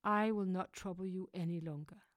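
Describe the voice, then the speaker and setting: A woman's voice: lowish voice, steady tone, moderate pace, no echo, clean studio sound, natural voice. A woman reading as a pleading young mother. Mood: quiet resolve. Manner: pleading, urgent.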